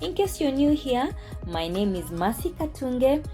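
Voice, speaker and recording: A Kenyan-accented woman telling a personal story as a vlogger, speaking deliberately with pauses and emphatic repetition. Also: high-pitched, very animated, mellow, faint music behind. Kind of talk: personal vlog storytelling to camera.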